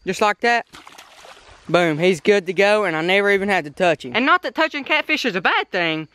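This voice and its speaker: A young man giving excitable, folksy commentary while fishing outdoors at a pond, talking into an action camera. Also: high-pitched, a little fast, clear, resonant tone. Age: young adult. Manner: excitable, folksy, calls viewers boys and son. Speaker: fishing vlogger. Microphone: action camera on body or handheld.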